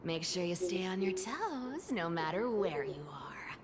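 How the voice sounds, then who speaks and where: An actress playing a mischievous young trickster demigod thief in a video game, with a sly, playful, theatrical manner. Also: female voice, lowish voice, big pitch swings, a little slow, noisy background. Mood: mock warning. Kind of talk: animated game voice acting.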